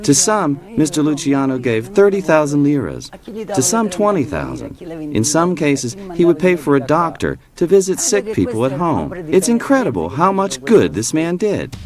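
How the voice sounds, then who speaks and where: A man's voice: on the high side, wildly swooping pitch, moderate pace. A man voicing a translated testimony, earnest in tone. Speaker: voice-over translator. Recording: crowd noise underneath.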